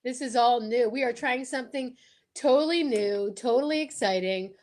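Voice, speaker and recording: A woman co-hosting a live show with excited, casual banter, gushing and theatrical in manner. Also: fairly high pitch, moderate pace, a crowd in the background. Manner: theatrical, gushing, sing-song exclamations.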